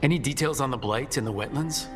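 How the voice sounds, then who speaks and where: An earnest video game leader, played by a man, with a mid-low everyday voice. He is steady, direct and composed, with music clearly audible behind. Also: quick pace, close to an everyday voice. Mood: businesslike. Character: earnest, steady young male team leader. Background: game score.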